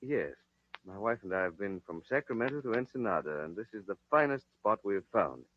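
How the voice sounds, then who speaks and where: A man's voice: on the low side, animated, a little fast, a little hiss or hum, lightly acted. A male actor playing an earnest, polite Quaker land buyer in a 1940s radio drama. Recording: dull, dry old radio recording.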